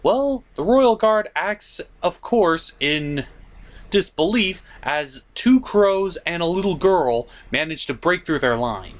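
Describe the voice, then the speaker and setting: A man delivers a comedic film review with lots of swing in his pitch. His manner is sarcastic and exasperated, and he reacts to the story as he tells it. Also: expressive, slow.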